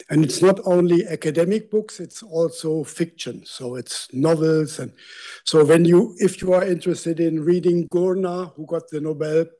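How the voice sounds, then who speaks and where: A man's voice: a little high, dark tone. An older man with a German accent, giving a public lecture from a lectern microphone in a university lecture hall, speaking conversationally with self-deprecating asides. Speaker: guest lecturer.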